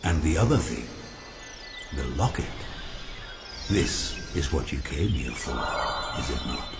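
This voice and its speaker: An actor playing an ancient talking oak tree, wise, kindly but stern, speaking in a grave, formal way in an animated fantasy game. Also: male voice, extremely low pitch, vocal fry, over music, exaggerated voice. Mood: solemn, benevolent. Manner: slow, grave, formal and deliberate.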